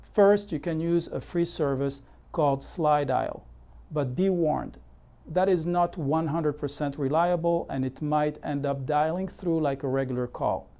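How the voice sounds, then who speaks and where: A man delivering scripted instructional narration as an educational presenter, in a measured, methodical, explanatory way. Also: on the high side, mellow. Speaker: presenter of real estate consumer education.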